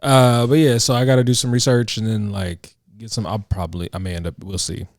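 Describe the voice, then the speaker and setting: A man's voice: fairly low pitch, fairly even intonation, a little fast, no echo, clean studio sound. A man with a Southern US accent co-hosting a podcast, talking in a laid-back way and trailing off his sentences. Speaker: podcast co-host. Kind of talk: casual chat.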